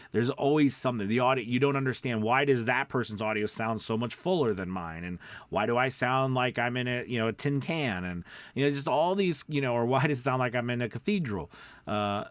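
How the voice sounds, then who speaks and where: A man with a mid-pitched voice speaks as the host of a coaching vlog. He is conversational and encouraging, stressing points with deliberate pauses and little check-in tags. The sound is very dry and close, with hardly any room in it. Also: no room sound. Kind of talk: casual instructional vlog talk to camera.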